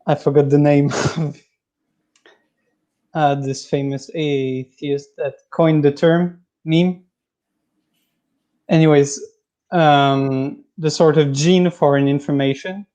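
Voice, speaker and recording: A man with a French accent calling into a livestream, hesitant and full of pauses as he asks his question. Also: a little high, fairly even intonation, dark tone, dull audio. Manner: hesitant, many ums and pauses. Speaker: caller. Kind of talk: casual call-in question.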